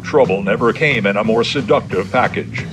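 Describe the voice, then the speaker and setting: A man's voice: lowish voice, moderate pace, music in the background, natural voice. An actor playing a sensational male announcer from a 1950s film trailer.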